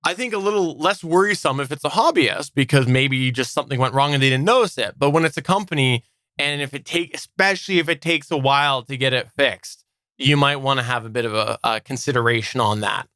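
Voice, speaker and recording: A man's voice: medium pitch, a little fast, pushed and bright, no echo, studio quality. A man co-hosting a podcast, explaining things in an informal, conversational way. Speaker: podcast co-host. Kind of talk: casual explanatory podcast talk.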